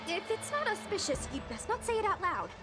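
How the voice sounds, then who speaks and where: An eager young girl fighter in a game. Her voice is very high and bouncy, earnest in manner, over background music. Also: very animated, brisk. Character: bright, earnest, youthful girl warrior. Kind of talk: animated video game dialogue.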